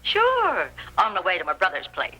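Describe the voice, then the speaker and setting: A woman's voice: medium pitch, huge pitch swings, moderate pace, pushed and bright, full character voice. An actress playing a blustery, blunt older backwoods woman with a folksy rustic twang in an old radio western. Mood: amused, pert. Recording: vintage lo-fi radio drama recording.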